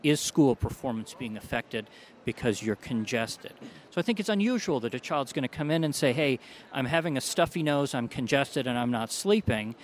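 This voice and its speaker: A male doctor speaking in a measured, explanatory tone, pitched in the middle range for a man. He lays out findings point by point as an interview guest. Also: lively intonation. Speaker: doctor, allergy specialist. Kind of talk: interview answers.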